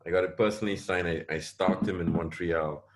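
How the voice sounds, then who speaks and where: A middle-aged man with a very deep voice answers a panel question over a video call. He talks in long, loose stretches, stopping and restarting his sentences and doubling his words. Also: fairly even intonation, fairly quick, noisy background. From Singapore. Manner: hesitant, many restarts and repeated words. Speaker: panelist, security advisor.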